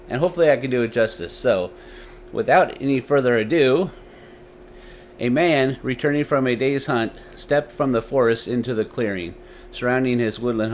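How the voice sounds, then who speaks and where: A man's voice: medium pitch, slight background noise. A man hosting a story series and narrating tales dramatically into a desktop microphone. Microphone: desktop USB microphone.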